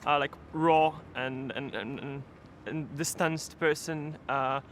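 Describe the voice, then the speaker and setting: Bright-voiced Polish-native man, halting. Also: on the high side, fairly even intonation, a little hiss or hum. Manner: hesitant, halting. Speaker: interviewee.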